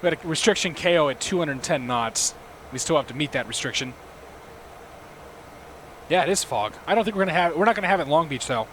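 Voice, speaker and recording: A hoarse man hosting a livestream, chatting casually and talking himself through each cockpit step as he flies a simulator. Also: on the high side, expressive, fast talker, long pauses, a bit bright, slight background noise. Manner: talks himself through cockpit steps, chats with viewers. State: losing his voice, hoarse. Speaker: livestream host. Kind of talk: casual commentary while flying a simulator.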